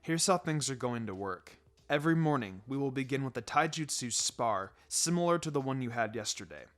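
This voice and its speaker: A stern adult ninja teacher, acted out by a male narrator, in a story reading. He speaks low and measured, laying down rules with pleasant menace. Music plays behind him. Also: animated, lightly acted. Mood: calm, instructing. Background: background music.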